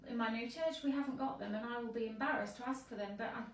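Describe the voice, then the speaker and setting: A woman vicar hosting an interview, chatty and lively, quick to laugh. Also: a little high, echoey room, recorded from a distance. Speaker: vicar and host.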